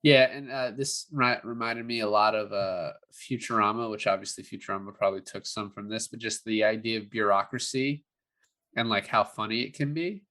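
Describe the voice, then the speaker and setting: A man's voice: on the low side, fairly even intonation, moderate pace, sharp tone. A man co-hosting a movie review podcast, chatting casually about films. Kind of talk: casual film discussion.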